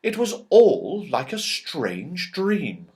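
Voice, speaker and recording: A man narrating a children's storybook as a reader, with expressive delivery, dramatic pauses and strong emphasis. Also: sits high. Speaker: audiobook reader.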